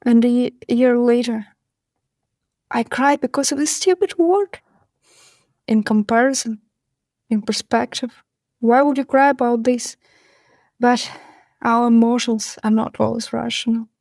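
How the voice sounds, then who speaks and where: A middle-aged woman who speaks English as a second language, telling a personal story in a slow, halting, earnest way. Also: fairly high pitch, a little mellow, no room sound, studio quality. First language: not English. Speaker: caller sharing a story. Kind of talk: personal recorded anecdote.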